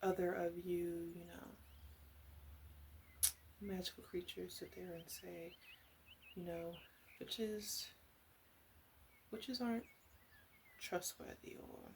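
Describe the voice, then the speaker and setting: A woman playing a caring, teasing witch in a soft ASMR roleplay voice that slips into whispers. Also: lowish voice, a little hiss or hum. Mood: matter-of-fact, teasing. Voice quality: soft, breathy, slipping into whisper. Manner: gentle, unhurried, playfully teasing. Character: female witch, flirty, caring, teasing potion seller.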